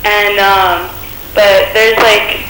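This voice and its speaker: A woman's voice: mid-range voice, level tone, very slow, pushed and bright. A young American woman calling in to a TV show. She talks casually and hesitantly, with lots of "like" fillers. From California, USA. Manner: casual, hesitant, heavy use of "like". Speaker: caller. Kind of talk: casual phone call. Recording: echoey, with faint background noise.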